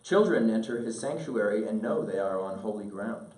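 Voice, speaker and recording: A man reads a literary letter aloud in a measured, earnest, oratorical way, pausing deliberately, recorded at a distance in an echoing room. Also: on the low side, animated, darkish tone. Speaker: speaker reading a letter aloud at an event. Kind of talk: solemn literary letter read aloud to an audience. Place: indoor event room.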